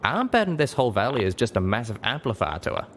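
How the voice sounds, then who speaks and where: A man's voice: medium pitch, big pitch swings, fast talker, fairly bright tone, a little hiss or hum, voice pushed up. A man voicing a folksy, wry, suspicious male cowboy pegasus with a drawl, half-whispered, for an audio story.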